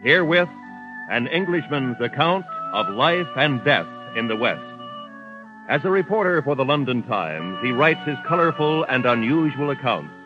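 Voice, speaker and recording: A man's voice: medium pitch, big pitch swings, moderate pace. Formal old-time radio announcer man. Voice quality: formal, declaiming. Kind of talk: 1950s radio show announcement. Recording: dull lo-fi 1950s radio recording with music.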